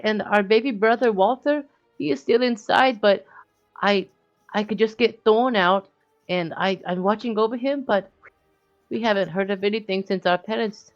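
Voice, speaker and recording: A game master voicing a frightened young girl who is watching over her little brother, pleading in halting bursts. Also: medium pitch, highs cut off, voice pushed up, close to an everyday voice. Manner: anxious, pleading, halting speech.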